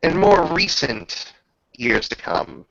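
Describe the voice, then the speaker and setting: Gravelly man narrating grandly in a roomy space. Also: fairly high pitch, sing-song, a bit bright. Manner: measured, grandiose, with drawn-out pauses. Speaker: game master. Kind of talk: dramatic storytelling narration for a tabletop roleplaying game. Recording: slightly dull, roomy home recording.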